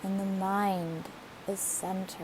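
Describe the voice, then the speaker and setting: A woman leading a guided meditation in a gentle, soothing manner with deliberate phrasing. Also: medium pitch, over music, rough recording. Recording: steady hiss behind the voice. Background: hiss, rushing waterfall water.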